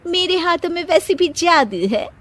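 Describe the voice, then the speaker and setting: A woman voicing a boastful, bossy young wife in a cartoon story, high and excited. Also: normal speed. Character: boastful young wife, proud of her hands.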